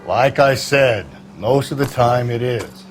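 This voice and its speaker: Jaded veteran homicide cop, male actor, American, mid-pitched, sardonic and dismissive, game dialogue with faint noise behind. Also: slow pace, natural voice. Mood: cynical, matter-of-fact. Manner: blunt, world-weary, sardonic. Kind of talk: cinematic video game acting.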